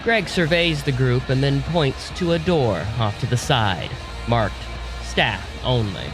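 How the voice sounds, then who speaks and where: A man's voice: medium pitch, lively intonation, unhurried. A man narrating an audio drama in a scripted storytelling style. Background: music.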